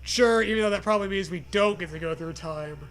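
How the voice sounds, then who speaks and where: A man's voice: high-pitched, quick pace, fairly bright tone. A man reading a silly adventure story aloud, playfully dramatizing it and trading casual banter. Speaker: co-host reading aloud a choose-your-own-adventure parody.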